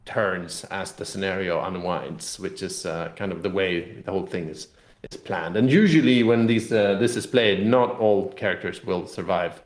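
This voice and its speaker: A low-pitched man talks in an echoey room, explaining a tabletop game as an interview guest. His delivery is casual and rambling, full of loose qualifiers as he works through each point. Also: moderate pace. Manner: rambling, explanatory, hedging with "kind of". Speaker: game publisher representative being interviewed. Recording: roomy, echoey sound.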